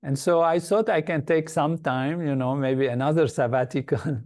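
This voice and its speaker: Older man from Lebanon, interview guest, thoughtful and measured, warm low-key tone. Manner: measured, thoughtful, with frequent pauses and fillers. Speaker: guest, meditation organization leader. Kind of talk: reflective interview answers.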